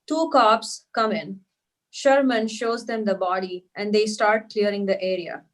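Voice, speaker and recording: A woman's voice: mid-range voice, unhurried. A woman narrating stage directions at a script table read.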